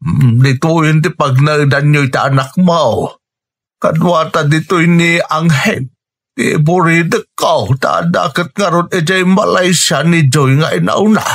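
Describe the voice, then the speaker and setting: A man's voice: a little high, measured pace. A man playing a reassuring older father in a radio drama, with a Filipino accent. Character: reassuring older widowed father welcoming a partner.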